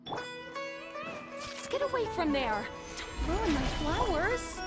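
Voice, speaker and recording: A woman's voice: very high voice, sing-song, slow pace, heavily acted. A woman voicing a fragile, frightened young girl, plaintive and faltering, in anime video game style. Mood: distressed. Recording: loud game music behind.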